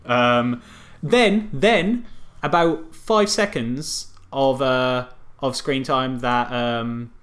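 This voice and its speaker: A man hosting a film review podcast, talking casually in a sarcastic, halting, sweary way. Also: mid-range voice, fairly bright tone. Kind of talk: casual comedic film critique.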